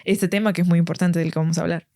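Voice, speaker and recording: A woman in her twenties from El Salvador, hosting a podcast interview in a friendly, polite way, often filling pauses with "este". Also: lowish voice, fairly even intonation, mellow. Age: 20s. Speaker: podcast host. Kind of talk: casual interview chat.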